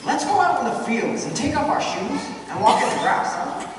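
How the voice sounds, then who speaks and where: An actor playing a restless young man, an eager dreamer who talks in an earnest, expressive way, in an amateur radio play. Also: brisk. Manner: earnest, expressive, swinging pitch. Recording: echoey hall, distant mic, noisy.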